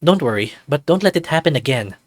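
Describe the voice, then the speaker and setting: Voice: mid-range voice, lively intonation, fast talker, no echo, natural voice. A narrator as caring, slightly bossy young man. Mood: matter-of-fact.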